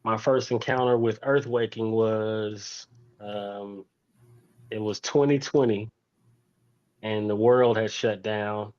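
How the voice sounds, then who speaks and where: A man's voice: on the low side, steady tone, slow, a little mellow, dull audio. A man, telling his own story as an interview guest in a reflective way, restating phrases for emphasis. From Fort Worth, Texas, United States. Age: 40s.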